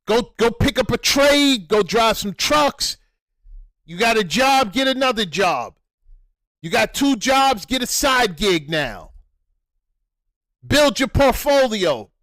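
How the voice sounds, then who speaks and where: A man speaking with a high-pitched voice delivers reaction commentary. He talks at a deliberate, slow pace, lecturing and repeating his points for emphasis. The sound is dry and close. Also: sing-song, a bit bright, no room sound. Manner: preachy, repetitive, emphatic.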